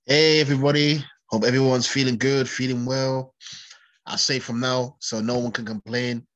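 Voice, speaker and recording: A man's voice: mid-range voice, moderate pace, muffled recording. Snotty-nosed man chatting casually. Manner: chatty, laughs often, animated. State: snotty with a cold. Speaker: podcast co-host. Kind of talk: casual podcast chat.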